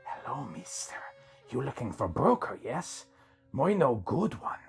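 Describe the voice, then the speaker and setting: A man's voice: medium pitch, very animated, unhurried, creaky, faint music behind. A man voicing a pushy, scornful lizard-man street hustler in a story, speaking clipped broken English with Spanish slang. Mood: sly.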